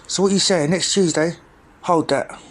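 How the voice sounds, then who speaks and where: A man voicing a pushy young male street dealer, talking in rough street slang. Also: on the high side, normal speed, voice pushed up, lightly acted. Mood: scolding.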